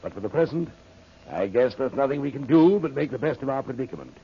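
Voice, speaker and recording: A man's voice: mid-range voice, lively intonation, quick pace, creaky. An actor playing a pious older clergyman in an old radio serial, speaking formally, earnestly and solemnly. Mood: fervent, grateful. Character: pious, earnest older reverend, a devoted father. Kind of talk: 1930s radio serial acting. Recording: muffled, noisy old radio recording.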